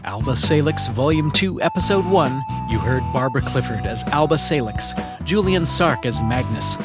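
Guy doing end credits announcer read, mid pitch voice, loud guitar music under it. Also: expressive, moderate pace. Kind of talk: scripted announcement.

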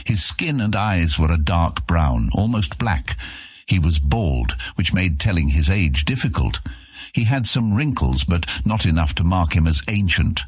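Male narrator, extremely low pitch, husky, dry close recording, scripted fantasy audiobook storytelling. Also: animated, unhurried, no room sound. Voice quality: very deep, husky.

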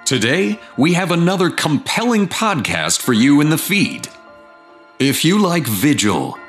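A man reading scripted podcast promotion in a dramatic, measured way, as a host introducing a show. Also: on the low side, a bit bright, echoey room. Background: faint music.

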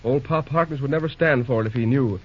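An actor playing an earnest, eager young railroad man in an old radio drama, quick to exclaim. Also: mid-range voice, breathy edge, close to an everyday voice. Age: young adult. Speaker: acting railroad superintendent. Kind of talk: 1930s radio drama acting. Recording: dull lo-fi old radio recording.

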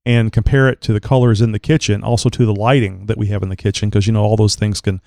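A man hosting a casual online show talks in a loose, rambling way, stopping often on filler words. His voice is mellow and smooth, though a little crackly as he gets over a cold. The sound is dry and close. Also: fairly low pitch, little pitch movement, fast talker, no echo. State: recovering from a cold, slight crackle. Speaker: podcast host. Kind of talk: casual chat.

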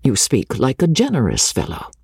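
Earnest, plain-spoken army captain, loyal older friend, for a classic storybook, read by a male narrator; husky, expressive. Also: mid-range voice, normal speed, no room sound, clean studio sound, exaggerated voice. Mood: earnest, reproachful. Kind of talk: dramatized storybook reading.

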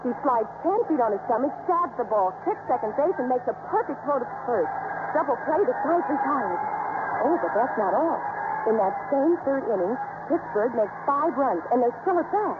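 A woman's voice: sits high, expressive, normal speed, heavy background noise. A woman playing a wry storyteller, narrating baseball games dramatically in a scripted 1950s radio style. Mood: excited, wry. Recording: tinny 1950s radio recording with music.

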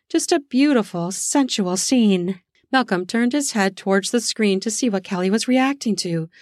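A woman narrating an erotic audio story in a slow, sensual way, lingering on words for emphasis. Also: mid-range voice, a little mellow, no room sound, clean studio sound. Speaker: storyteller, podcast host.

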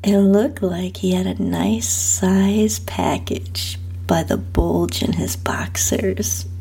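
Slow, breathy woman narrating in a husky hush. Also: mid-range voice, steady tone, whispered. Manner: slow, hushed, sultry delivery. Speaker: host and narrator of own story podcast. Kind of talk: intimate first-person story narration.

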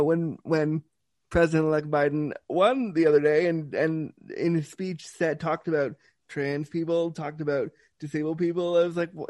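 A man hosting an interview, talking in an animated, chatty way with frequent restarts. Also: a little high, no room sound. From Canada. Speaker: interview host. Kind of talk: casual conversational interview.

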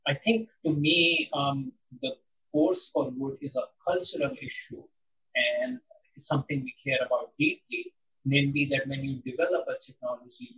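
A man in his sixties from India, answering interview questions in a measured, reflective way with frequent pauses and ums. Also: mid-range voice, a bit bright, recorded from a distance, lo-fi sound. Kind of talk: interview conversation.

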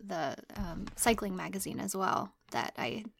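A woman with a medium-pitched voice speaks as a podcast co-host. Her delivery is that of conversational hosting, addressed to guests and listeners. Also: lively intonation, measured pace, creaky, a bit bright, a little hiss or hum.

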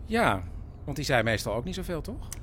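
An actor playing a dry, ironic adult son in a bickering family in an audio drama. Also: male voice, a little high, a little fast, a little hiss or hum. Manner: deadpan, ironic.

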